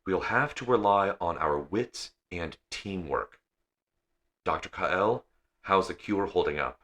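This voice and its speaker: A commanding female starship captain from a science fiction audiobook, read by a man. The voice is deep and forceful, paced slowly, with weary resolve. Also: expressive, heavily acted.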